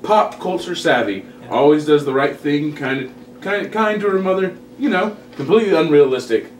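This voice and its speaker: An excitable, teasing guy played by a man gossips with a buddy in a rather high, lively voice. It is a comedy table read, picked up in a roomy space. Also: normal speed, natural voice. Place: indoor room, group table read. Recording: echoey room, distant mic, faint noise.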